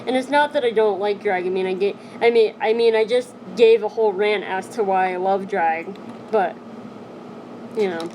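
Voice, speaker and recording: A young woman chatting casually on a live stream from home, rambling and filling every pause with "like". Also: medium pitch, darkish tone, a little hiss or hum, low-quality recording. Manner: rambling, heavy use of "like" fillers. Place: home, sitting on her knees.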